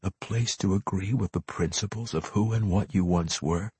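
A man voicing a stern, noble elf warrior in a fantasy story, speaking in a formal, measured and challenging way. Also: deep voice, darkish tone, slight background noise.

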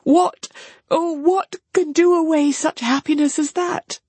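A woman's voice: high voice, fairly even intonation, measured pace, darkish tone, no room sound, pitched above the performer's own voice. A woman voicing a passionate, despairing young sister in an anguished, exclamatory way, as in a classic novel reading. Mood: pleading.

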